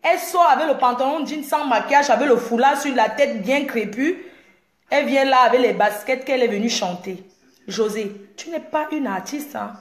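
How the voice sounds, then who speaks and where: A woman's voice: sits high, normal speed. Cameroonian blogger lady ranting at the camera, bragging and taking digs, cameroonian french accent, roomy echo. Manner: boastful, confrontational, mocking. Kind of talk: direct-to-camera rant.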